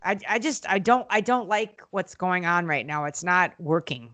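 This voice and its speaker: Outspoken woman with a bright, sharp voice. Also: lowish voice, moderate pace. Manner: animated storytelling, acts out quotes in other voices; frequent fillers and restarts, blunt and outspoken. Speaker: podcast guest, former wrestling interviewer and manager. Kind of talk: casual podcast interview.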